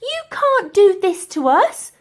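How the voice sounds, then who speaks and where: A woman plays a fiery young girl in a children's storybook. The voice sits high and leaps about as the girl protests, defiant, indignant and brave. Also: big pitch swings, takes it slowly, exaggerated voice. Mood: furious. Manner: exclaiming, high-pitched protest. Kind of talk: children's audiobook character acting.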